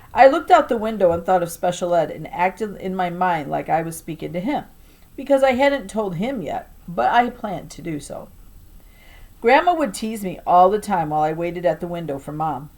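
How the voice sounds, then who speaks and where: A woman teacher reading a children's novel aloud to a class with expressive, emphatic and deliberate storytelling delivery. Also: on the low side, low-quality recording.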